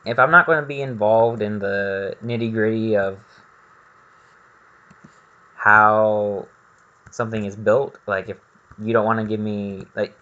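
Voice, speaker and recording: A man talks through a game in a casual, rambling way, as if thinking aloud. His voice is fairly low but bright and forward, and he takes his time. The recording is slightly dull and lo-fi. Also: fairly even intonation, unhurried, long pauses. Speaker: gaming video host. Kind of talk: casual gameplay commentary.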